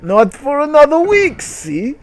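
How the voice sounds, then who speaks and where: Man putting on an eager, high-voiced talking block. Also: huge pitch swings, measured pace, full character voice. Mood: eager, obliging. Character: obliging talking block, servant-like smart home helper.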